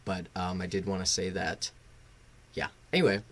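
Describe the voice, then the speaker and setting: A man's voice: low-pitched, little pitch movement, normal speed, fairly bright tone. A man hosting a casual live reaction stream, rambling and swearing, bluntly critical with a sarcastic, self-deprecating streak. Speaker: livestream host and reviewer.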